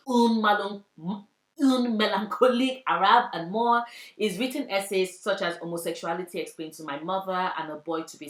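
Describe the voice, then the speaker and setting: Young woman from Africa, book vlogger, lowish voice, heartfelt and earnest, roomy echo. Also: a bit bright. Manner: earnest, heartfelt, with poetic repetition. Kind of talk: book recommendation vlog.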